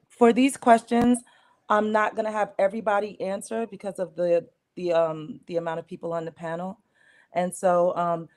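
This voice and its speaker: A woman's voice: lowish voice, darkish tone. A woman hosting a video-call panel who affirms her guests before moving on to the next question. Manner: affirming, upbeat moderator. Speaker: host. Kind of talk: panel moderation.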